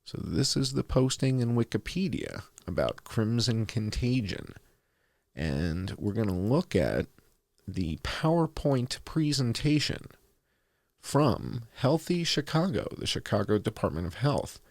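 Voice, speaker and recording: A man hosting a commentary, reading documents aloud in a deliberate, announcer-like way with dry, sardonic asides. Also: fairly low pitch, very animated, vocal fry, a little mellow, no room sound. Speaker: host presenting and reading documents.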